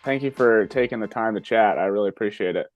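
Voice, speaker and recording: A man's voice: fairly low pitch, fast talker, dull audio, faint music behind. A middle-aged man hosting an interview, asking friendly questions with many false starts. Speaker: podcast host. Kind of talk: casual interview.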